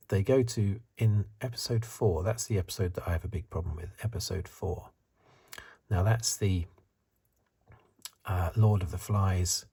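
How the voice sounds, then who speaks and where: Low, hushed, breathy man doing ASMR. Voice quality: breathy, soft, partly whispered. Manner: hesitant, musing, conversational. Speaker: ASMR reviewer. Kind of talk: soft-spoken ASMR commentary.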